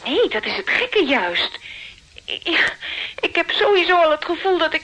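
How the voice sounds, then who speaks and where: A woman's voice: extremely high pitch, big pitch swings, a little slow, pushed and bright, exaggerated voice, rough recording. An actress playing an anxious, trusting female client in a radio drama, fretful and gushing.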